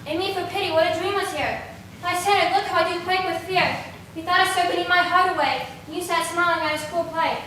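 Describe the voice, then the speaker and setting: A woman's voice: high-pitched, fast talker, a bit bright. A woman playing a modest, affectionate young maiden in a Shakespearean verse play on stage. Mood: terrified, pleading. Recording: very echoey distant hall, clear background noise.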